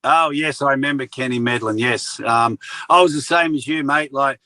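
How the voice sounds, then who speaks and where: A man's voice: mid-range voice, normal speed, bright, forceful tone, no room sound. An older Australian man, a former wrestler and actor, co-hosting a casual interview with a chatty, joking manner. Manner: chatty, jokey, trails off searching for names.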